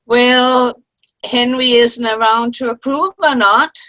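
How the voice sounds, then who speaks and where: A woman's voice: on the high side, steady tone, slow pace, sharp tone, rough recording. A woman playing a cheerful, teasing grandmother among old friends in an amateur comedy play reading. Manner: good-humored, teasing. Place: online video-call play reading.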